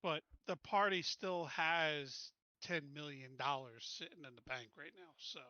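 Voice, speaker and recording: Bright, higher-voiced man in casual game chat. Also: a little slow, no room sound, highs cut off. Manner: informal, often says "dude". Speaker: tabletop game player.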